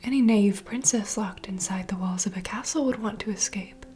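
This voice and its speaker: A woman playing a knowing, secretive vampire bartender in an ASMR roleplay, speaking in a soft breathy whisper as an unhurried, gentle mentor. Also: medium pitch, natural voice. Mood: hushed storytelling. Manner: unhurried, confiding storyteller. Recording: close whisper over clear ambient noise.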